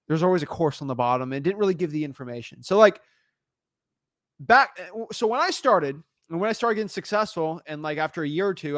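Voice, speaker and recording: A young man hosting a casual chat, telling stories in an energetic, animated way. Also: fairly high pitch, no echo, clean studio sound. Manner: energetic, fast-talking, animated storytelling. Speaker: podcast host.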